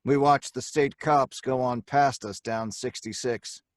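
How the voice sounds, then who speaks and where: A man plays a cool, laconic crook telling his own story in a radio play. The sound is dry and close, with a medium-pitched voice. His narration is terse, plain-spoken and hardboiled. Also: fairly even intonation, unhurried, no echo, natural voice. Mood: matter-of-fact. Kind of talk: first-person hardboiled radio drama narration.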